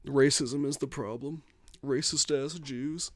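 A man of ordinary pitch co-hosting a podcast, recorded dry and close. He talks in a mumbly, profane, deadpan way and keeps hedging his points. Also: measured pace, dry recording. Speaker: podcast co-host. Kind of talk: casual chat.

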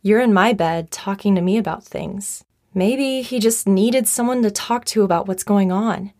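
An actress plays a reasonable young woman counselling a friend in an audio drama. Her pitch is average for a woman, and her delivery is conversational and measured, calmly placating. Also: natural voice. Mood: reasoning, placating. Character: calm, reasonable young female friend giving gentle counsel. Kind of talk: naturalistic audio drama acting.

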